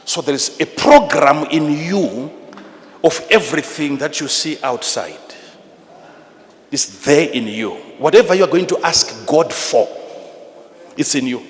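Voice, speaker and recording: A man's voice: on the high side, animated, a little slow. Zimbabwean preacher man in a reverberant hall. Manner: emphatic, repetitive, teacherly, swinging from hushed to loud. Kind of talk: sermon. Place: large church hall with PA. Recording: live in a large reverberant hall, noisy.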